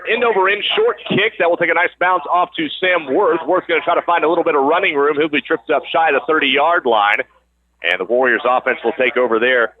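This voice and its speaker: A man doing live radio play-by-play of high school sports in a conversational announcer's style, on a broadcast mic. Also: phone-quality sound. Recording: band-limited radio broadcast sound, gym or field noise.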